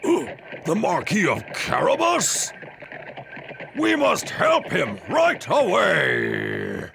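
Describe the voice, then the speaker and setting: A man playing an old, commanding king in a cartoon storybook, slow and regal. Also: high voice, huge pitch swings, vocal fry, noisy background, heavily acted.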